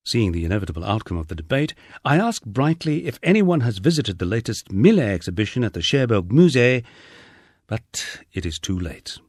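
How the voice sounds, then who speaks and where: A man's voice: on the low side, very animated, moderate pace, a little mellow, no room sound, studio quality. A man narrating a humorous memoir as a scripted audiobook reading.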